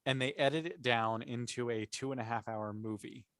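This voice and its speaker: A man's voice: medium pitch, moderate pace, fairly bright tone, no room sound, studio quality. A man talking casually and with emphatic enthusiasm as a panelist in a fan discussion podcast.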